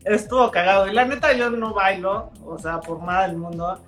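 A woman's voice: medium pitch, steady tone, moderate pace, fairly bright tone, echoey room, faint music behind. A woman chef with a Mexican accent chatting as a guest, laid-back and full of slang. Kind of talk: casual chat.

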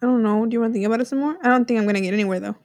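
A woman's voice: a little high, rapid-fire, no room sound, studio quality. A woman co-hosting a casual podcast from her parents' house, joking and exclaiming as she works through riddles. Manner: animated, exclaims, jokes around. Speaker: podcast co-host. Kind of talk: casual chat solving riddles.

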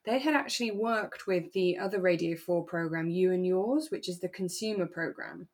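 A British woman co-hosting a podcast, telling stories in a chatty, explanatory way as she recaps a drama in relaxed conversation. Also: on the low side, moderate pace. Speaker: podcast co-host.

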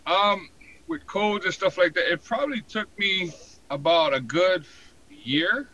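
An American man in his forties, telling his story casually in an interview with a halting, colloquial delivery full of "you know". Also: sits high, animated, pushed and bright, dull audio. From San Diego, born in Hawaii. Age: 40s. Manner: halting, colloquial, frequent 'you know'. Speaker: podcast guest, fire protection inspector.

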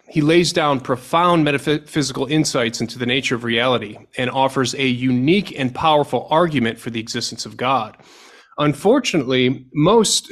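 A man hosts a podcast, opening with a scripted introduction before putting careful questions to his guest. He speaks in a measured, deliberate way at a middling pitch. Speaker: podcast host.

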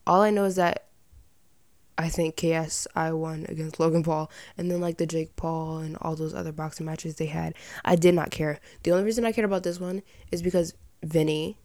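A young woman with a slightly raspy voice and some vocal fry at sentence ends, chatting casually and giving her opinions as a podcast host. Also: deep voice, steady tone, moderate pace, a little mellow, dry recording. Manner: informal, rambling, opinionated. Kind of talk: casual chatty solo commentary.

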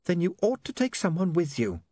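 A man plays a concerned young woman in a storybook. She is polite, hesitant and full of questions, with a high, swooping voice. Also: huge pitch swings, brisk, warm tone, no room sound, heavily acted. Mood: concerned, questioning.

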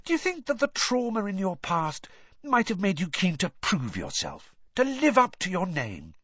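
A man voicing a brisk, prying female reporter. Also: sits high, wildly swooping pitch, a bit bright, breathy edge, no echo, pitched above the performer's own voice, heavily acted. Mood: brisk, probing. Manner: brisk, talks over others, leading questions. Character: pushy gossiping female journalist, brisk and probing. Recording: dull, dry lo-fi audiobook recording.

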